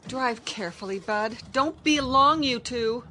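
An actress playing a sensible, protective mother in a radio drama, concerned and gently insistent. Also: on the high side, unhurried, a little hiss or hum. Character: worried, protective, sensible small-town mother. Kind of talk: modern radio drama acting.

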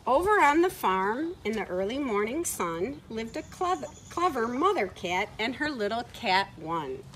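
A middle-aged woman reading a picture book aloud to children in an expressive way, recorded outdoors. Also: fairly high pitch, measured pace, rough recording. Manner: expressive, acting out short quoted words. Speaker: children's story time reader. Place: outdoors on a farm, beside horses. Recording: outdoor ambient noise from camera mic.

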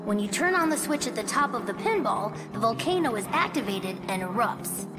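A woman's voice: on the high side, very animated, fairly quick, over music. A woman voicing an earnest, brave young boy fox inventor in a video game, explaining things with determination. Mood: curious, explaining.

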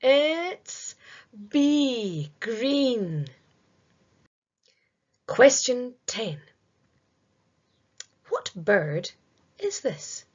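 A middle-aged woman reading a children's multiple-choice quiz aloud in a deliberate, sing-song way with pauses. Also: fairly high pitch, a little mellow, slightly rough, lo-fi sound. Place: small room indoors.

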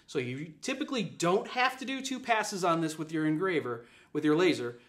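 A man's voice: a little high, lively intonation, normal speed, fairly bright tone. A man, giving an upbeat live product demo in an office room, with many fillers and repeated points. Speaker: product presenter. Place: office room with a display table.

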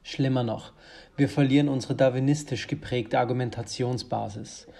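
A brusque, emphatic strategist acted by a man in an audio drama. The voice is dark and mellow at a medium pitch, with formal, forceful wording. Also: fairly even intonation, moderate pace, gravelly. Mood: grave, urgent. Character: brusque, vehement male lobby strategist.

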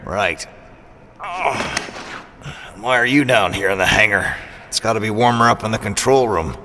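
A man's voice: lowish voice, animated, normal speed, bright, forceful tone, big-room sound, slight background noise. An actor playing a roguish, sarcastic assassin mercenary who teases his way through an audio drama. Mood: sardonic. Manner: sarcastic, teasing. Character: sardonic, roguish male assassin mercenary, mock-wounded and teasing.

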